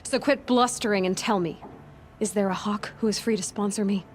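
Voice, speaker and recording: An actress playing a determined outsider huntress in a video game, speaking in a direct, firm way. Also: female voice, mid-range voice, fairly quick, faint music behind, natural voice. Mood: defiant.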